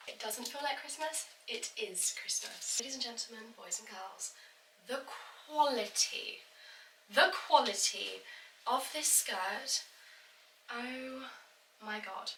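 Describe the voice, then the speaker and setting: Woman vlogger, bright and gushing, still a bit stuffy from a cold, recorded in an echoey room from a distance. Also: on the high side, expressive, takes it slowly, slight background noise. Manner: gushing, effusive. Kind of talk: sponsored unboxing chat.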